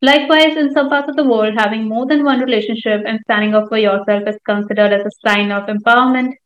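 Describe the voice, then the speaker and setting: A woman's voice: medium pitch, roomy sound, highs cut off. A woman with an Indian accent co-hosting a podcast in a scripted, presenting style. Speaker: podcast co-host.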